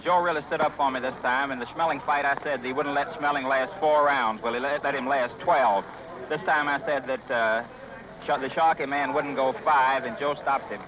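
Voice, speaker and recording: A man's voice: medium pitch, fairly even intonation, moderate pace. A man giving informal post-fight remarks in a 1930s radio interview. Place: crowded dressing room. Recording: noisy old 1930s radio broadcast.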